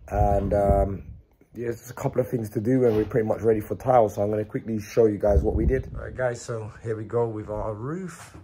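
A man in his thirties, hoarse from a sore throat, casually walking viewers through his building site and explaining the work step by step. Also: fairly low pitch, background noise. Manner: relaxed, explains step by step with fillers. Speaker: builder vlogger. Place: unfinished building extension under a new roof, indoors. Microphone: phone held at arm's length.

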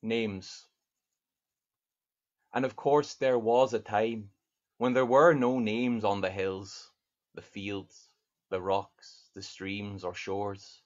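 Man, thirties, poet reading aloud, thoughtful and deliberate, lowish voice, dull video-call sound. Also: steady tone. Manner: earnest, thoughtful, reads verse slowly and deliberately. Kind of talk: poetry reading with spoken introductions. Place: home study lined with bookshelves, indoors. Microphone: video call webcam.

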